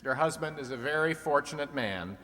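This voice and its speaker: A man's voice: a little high, lively intonation, a little fast, sharp tone. A man playing a sly, teasing host of an old-time radio quiz show, full of dry wisecracks. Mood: wry. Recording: long hall reverb.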